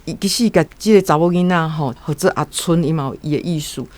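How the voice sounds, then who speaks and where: Reflective radio guest, mid-pitched voice. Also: fast. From Taiwan. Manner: reflective, with frequent tag particles. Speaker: guest commentator. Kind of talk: spoken literary commentary.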